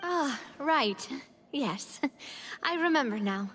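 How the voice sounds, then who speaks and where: A woman's voice: high voice, lively intonation, slow, fairly bright tone, long reverb tail, a little hiss or hum, heavily acted. A woman voicing a polite, thoughtful young lady in a video game, often hesitant and musing.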